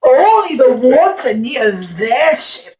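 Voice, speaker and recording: A woman's voice: high-pitched, very animated, slow pace, darkish tone, roomy sound. A woman telling a story to young children as a puppeteer, explaining things simply and with repetition.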